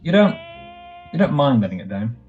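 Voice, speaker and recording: A man's voice: mid-range voice, sing-song, brisk, darkish tone, natural voice. A man playing an affectionate, teasing young husband back from military service in a 1940s-style radio drama, wistful and a little hesitant. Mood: tender. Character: tender, playful young returned serviceman husband. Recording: dull muffled audio, faint music.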